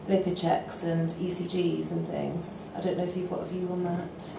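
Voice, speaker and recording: A woman's voice: lowish voice, little pitch movement, normal speed. A woman doctor asking a question from the audience, hesitant with many fillers. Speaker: general practitioner asking a question. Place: lecture room audience. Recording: roomy hall with clear background noise.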